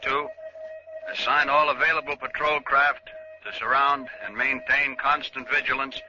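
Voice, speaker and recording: A man plays a brisk American navy commander giving clipped orders in a middle-pitched voice. Music runs loudly behind him on a dull old radio recording. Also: little pitch movement, natural voice. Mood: stern, official. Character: brisk, official naval commandant dictating orders. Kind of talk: 1940s radio dispatch reading. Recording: muffled vintage radio, loud music behind.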